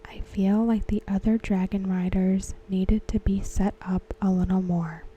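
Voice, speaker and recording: Woman, ASMR whisper, unvoiced, slow, flat, very mellow. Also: medium pitch, husky, highs cut off. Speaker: ASMR host. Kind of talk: soft-spoken ASMR commentary.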